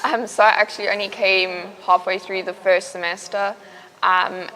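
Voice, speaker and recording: A young woman from South Africa with a South African accent, a university student answering interview questions in a relaxed, chatty way with many fillers. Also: on the low side, low-quality recording. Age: young adult.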